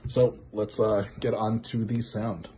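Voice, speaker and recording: A man with a low voice talks through a product demo in a casual, off-the-cuff way. He circles back and restarts phrases as he goes. Clear background noise and small clicks sit behind him. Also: flat delivery, unhurried, warm tone. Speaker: product reviewer.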